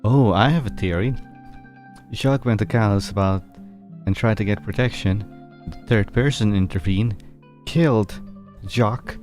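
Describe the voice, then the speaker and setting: A man giving casual, halting, wry commentary as he plays a video game. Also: fairly low pitch, darkish tone. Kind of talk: casual game commentary, sometimes reading dialogue aloud. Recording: game music behind the voice. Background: game music.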